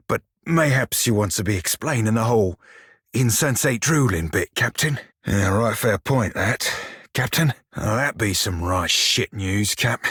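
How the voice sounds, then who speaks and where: Husky rogue for a video game, sardonic sailor drawl, rough edges, real dry close recording. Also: male voice, mid-range voice, fairly bright tone, dry recording. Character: roguish blue shark-kin pirate, salty, sardonic, foul-mouthed. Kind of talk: video game character dialogue.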